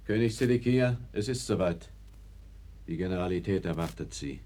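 Very deep, sombre royal attendant, male actor. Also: moderate pace, lightly acted. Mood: urgent, formal. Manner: formal, sombre. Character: grave male royal attendant, dutiful and questioning. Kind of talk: German radio play acting. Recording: crackly early 1960s vinyl record.

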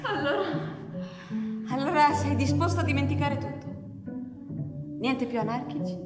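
In a reverberant space with music, a woman in love with an outlaw. Her high voice is emotional and insistent, in the manner of a live radio play. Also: lively intonation, normal speed. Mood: doubting. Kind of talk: live radio drama acting. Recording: echoey long reverb with music behind.

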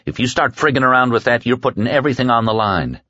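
Man playing a firm, stoical injured man, animated intonation. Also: mid-range voice, fast, dry recording, dull audio, pitched above the performer's own voice. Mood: insistent. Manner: plain-spoken, firm, commanding. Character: injured, stoical man firmly ordering his friends onward.

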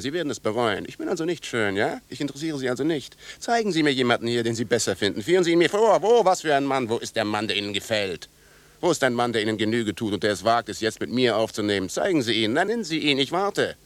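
A man's voice: medium pitch, sing-song, fast talker, fairly bright tone, no room sound. An actor in a radio drama playing a proud, spurned, menacing man who speaks in short, commanding, repeated phrases. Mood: challenging, wounded. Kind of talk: German radio drama acting.

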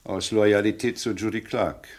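An actor playing a sceptical police inspector in a radio play, speaking in a dry, measured, pointed manner. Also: male voice, lowish voice, fairly even intonation, darkish tone, a little hiss or hum, close to an everyday voice.

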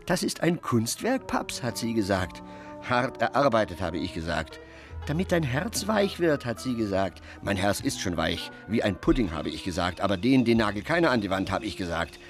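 An actor playing a gruff, cynical middle-aged father in a radio drama, telling his tales emphatically and with foul-mouthed agitation. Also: lowish voice, very animated, normal speed, soft background music. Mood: animated, wry.

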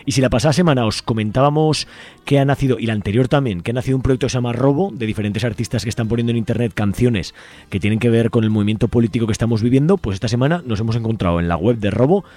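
A man's voice: medium pitch, very fast, dark tone, no room sound. A man with a Castilian accent from Spain, presenting casually as a radio host in a chatty, colloquial way. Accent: Spanish from Spain.

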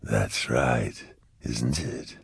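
Slow, whispering, bottomless-deep male demon. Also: gravelly, slightly rough, no echo, exaggerated voice. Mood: sly, taunting. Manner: slow, drawn-out, insinuating whispery delivery. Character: hovering male demon host, huge-voiced, mockingly friendly and sly.

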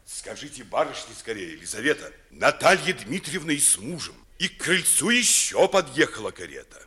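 An actor playing a bustling household manservant in a 1950s radio play, calling out orders in drawn-out phrases in an echoey space. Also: male voice, medium pitch, very animated, pushed and bright. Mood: bossy, busy. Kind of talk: 1950s classical radio theatre acting.

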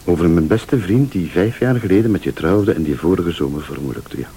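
A mysterious night visitor in a radio thriller, composed and quietly menacing. His voice is lowish and dark, with expressive, pointed phrasing on a faintly hissy recording. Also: a little fast. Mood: grave, confessional. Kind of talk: Flemish radio thriller acting.

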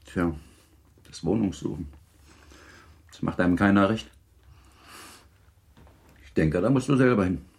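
An actor playing a reserved, indulgent older husband in a radio play, speaking in a measured, dryly ironic way. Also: male voice, sits low, lively intonation, a little mellow. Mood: considering. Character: older husband, reserved civil servant, indulgent, self-deprecating. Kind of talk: German radio play acting.

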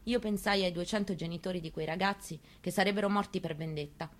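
A woman performing a dramatic reading of a memoir on the radio, telling it in the first person in a quiet, measured way. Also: on the low side. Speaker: reader. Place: radio studio.

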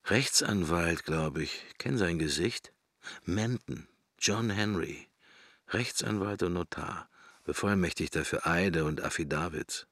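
A man's voice: deep voice, slow pace, fairly bright tone, no room sound, studio quality, heavily acted. An actor playing a pensive middle-aged man in a radio drama, musing to himself wryly and morbidly in short, broken fragments. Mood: reminiscing. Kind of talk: German radio drama, interior monologue.

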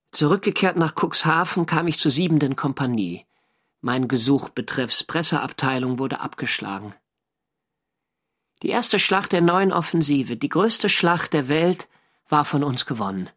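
An actor playing a First World War navy diarist, narrating in a measured, matter-of-fact literary way for a radio drama. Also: male voice, mid-range voice, fairly bright tone.

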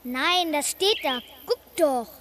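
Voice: high-pitched, takes it slowly, noisy background. A young performer playing a bossy, bratty child squabbling with a sibling in a radio drama, cheeky and taunting. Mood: sullen.

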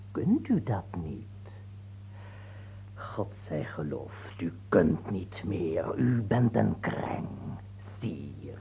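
A man's voice: on the low side, a little hiss or hum, full character voice. An actor playing a bitter male courtier in a radio drama, gloating and contemptuous, with a muttering delivery. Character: bitter male courtier gloating over his dead king. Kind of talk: theatrical radio drama monologue. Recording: muffled, faintly humming old radio recording.

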